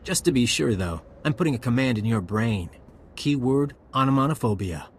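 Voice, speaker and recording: An actor playing a cocky, ruthless spy handler in a video game, speaking in a wry, brisk, commanding way. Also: male voice, mid-range voice, lively intonation, slight background noise, lightly acted. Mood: cold, commanding.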